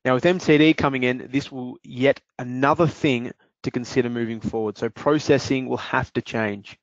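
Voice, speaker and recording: A man's voice: medium pitch, steady tone, no room sound. Man presenting a webinar demo. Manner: measured, explanatory, some fillers. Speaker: webinar presenter, business development manager. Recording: dry dull lo-fi audio.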